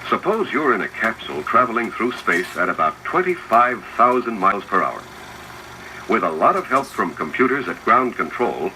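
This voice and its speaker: A man's voice: medium pitch, bright, forceful tone. A man reading scripted, instructive narration for a 1970s educational film, addressing the viewer directly. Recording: noisy 1970s 16mm film soundtrack, projector whir. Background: mechanical projector noise.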